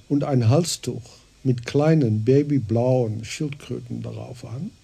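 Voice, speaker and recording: A crime story narrator with a very dark, creaky voice. He reads slowly and calmly, measuring out each detail of the radio play. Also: medium pitch, heavily acted. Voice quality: gravelly, husky, dark. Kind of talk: scripted radio drama narration.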